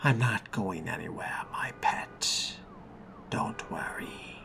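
A man voicing a suave, possessive vampire with refined, theatrical diction and a breathy, whispery delivery in a game roleplay. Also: sits low, takes it slowly, exaggerated voice. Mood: seductive, soothing. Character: suave, possessive male vampire fledgling, menacingly tender. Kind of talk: in-character roleplay narration of a video game. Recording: music behind the voice.

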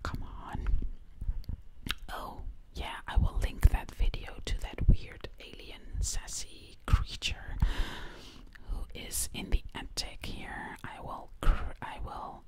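A young woman performs ASMR in a breathy, unvoiced whisper, very close to the microphone. She takes her time, moving slowly and repeating words and short phrases in a rhythmic way. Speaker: ASMR performer playing a time-travelling zombie princess. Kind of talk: ASMR whispered roleplay ramble. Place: dim home room, indoors. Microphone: close ear-to-ear microphone.

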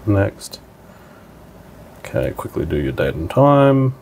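A man's voice: low voice, very animated, slow, creaky, a little mellow, a little hiss or hum. A man narrating a hands-on product tutorial in an informal, step-by-step way. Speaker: product demonstrator.

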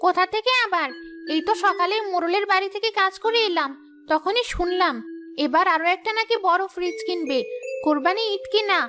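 Woman voicing a squeaky, gossipy village housemaid. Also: brisk, pushed and bright, faint music behind. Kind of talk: high-pitched cartoon dubbing.

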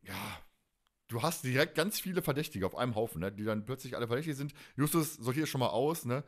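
A man's voice: mid-range voice, expressive, quick pace. Man chatting casually as a podcast co-host. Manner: rambling, repeats phrases, colloquial. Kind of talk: casual podcast chat, recapping an audio drama.

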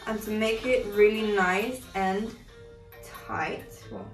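A woman's voice: medium pitch, slow pace, far from the mic. A young woman chatting through a hair tutorial on her toddler, explaining each step and correcting herself as she goes. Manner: chatty, instructive, self-correcting. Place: living room with Christmas decor. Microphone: far camera. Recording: echoey room audio with background music.

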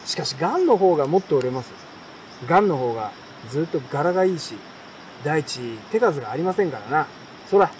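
A man's voice: fairly high pitch, sing-song, fairly quick. A man voicing a folksy, matter-of-fact bird catcher in a storybook, explaining things in a colloquial, self-assured way. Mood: proud, inviting. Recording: outdoor night crickets and campfire noise.

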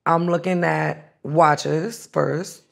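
A woman's voice: sits low, drawn-out words, creaky. A woman in her thirties from New York, talking frankly and animatedly as an interview guest. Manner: frank, animated, voices others in quotes. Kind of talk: candid interview storytelling. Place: studio set, indoors.

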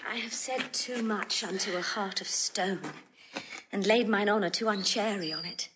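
An actress playing a proud young noblewoman in love, earnest and pleading, with breathy delivery in Shakespearean verse drama. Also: mid-range voice, moderate pace, a bit bright, poor audio. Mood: yearning. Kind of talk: theatrical Shakespearean romantic acting.

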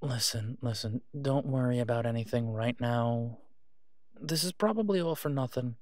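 A man playing a tired, devoted and protective werewolf in an intimate audio drama phone call, speaking in a halting, reassuring way. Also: mid-range voice, darkish tone, dry recording, clean studio sound, natural voice. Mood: weary, reassuring.

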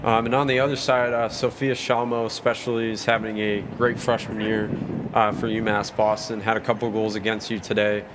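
A man's voice: fairly low pitch, flat delivery, a little fast, background noise. A man who hosts a college sports broadcast, interviewing a coach, reading sponsors and wrapping up the game in an upbeat style.

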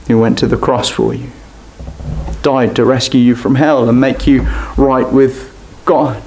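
A young man preaching a sermon at a lectern, animated and gesturing, dropping to near-whispers at times. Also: medium pitch. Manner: animated, gesturing preaching with sharp drops to quiet. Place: church hall at a lectern. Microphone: lectern mic from a distance. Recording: echoey church room.

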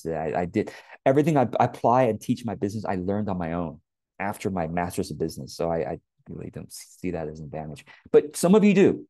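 A man in his late forties speaks with a Californian American accent in a soft-edged, mellow voice on the low side. He coaches informally, addressing the listener directly with animated emphasis. The sound is close and dry, picked up on an earphone mic. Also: no room sound. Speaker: business coach. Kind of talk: casual, conversational advice to camera. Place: small indoor room at home. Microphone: wired earphone headset on a webcam.

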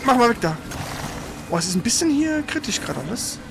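A man giving casual, colloquial live commentary while playing a video game, prone to excitable exclamations. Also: extremely high pitch, brisk, gravelly, background noise. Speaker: let's player. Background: loud video game sound.

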